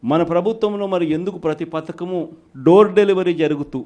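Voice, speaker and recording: A man's voice: sits high, fairly quick, very noisy. A man making political remarks in a clip with music laid over it.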